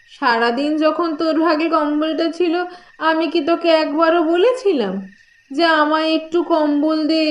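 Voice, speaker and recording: A bossy, self-centred village girl from a cartoon tale, performed by a woman. She scolds dismissively in an extremely high voice. The sound is noticeably echoey. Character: selfish, bossy elder sister, village girl. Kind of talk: Bengali moral-tale cartoon voice acting.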